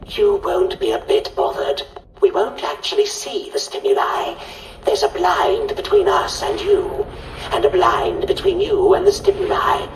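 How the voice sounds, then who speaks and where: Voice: extremely high pitch, creaky, slight background noise, exaggerated voice. An actor voicing a dotty, cheerfully callous scientist in a video game, with a patronising, absent-minded manner, over an intercom. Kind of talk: video game character acting. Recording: roomy distant intercom, music behind.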